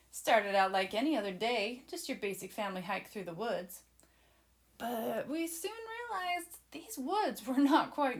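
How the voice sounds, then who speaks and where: A woman voicing an excited, adventurous young boy who eagerly and dramatically narrates a children's storybook to his listeners. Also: a little high, lightly acted. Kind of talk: children's storybook read-aloud.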